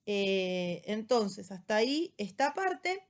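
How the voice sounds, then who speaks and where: A woman teacher with an Argentine accent, explaining a text in a relaxed lecture style and often checking in with short tag questions. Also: mid-range voice, slow. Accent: Argentine Spanish. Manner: casual rioplatense slang. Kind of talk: recorded lecture summary.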